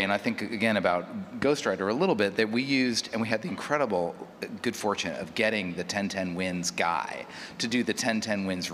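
A man with a fairly low voice speaks as a panelist, working out his thoughts aloud and qualifying them. The hall adds long reverb, with faint noise underneath. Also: a bit bright. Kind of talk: unscripted panel discussion.

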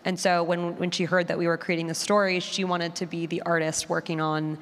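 Low-pitched woman speaking in an echoey hall. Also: level tone, fairly quick. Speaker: podcast producer on a panel. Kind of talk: explanatory panel talk. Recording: long echo of a hall.

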